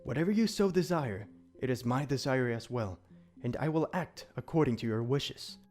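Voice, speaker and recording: A man playing a calm, solemn immortal demon protector in an audio drama, speaking in formal, measured, archaic phrasing. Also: medium pitch, faint music behind, lightly acted. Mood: courteous, devoted. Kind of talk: audio drama character acting.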